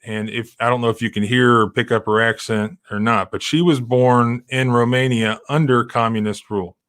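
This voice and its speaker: A man's voice: medium pitch, level tone, normal speed, fairly bright tone. A middle-aged man hosting a live stream, talking in a rambling, emphatic way with many restarts. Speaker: civil rights lawyer hosting a live stream. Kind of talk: casual live-stream commentary.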